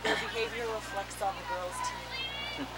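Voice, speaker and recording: A woman on an outdoor sideline, speaking briskly and matter-of-factly. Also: medium pitch, wildly swooping pitch. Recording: crowd-filled outdoor recording.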